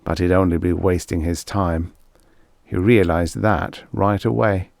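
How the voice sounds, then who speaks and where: A man's voice: deep voice, steady tone, unhurried, vocal fry, darkish tone, no room sound, clean studio sound. A man reading a scripted story as an audiobook narrator.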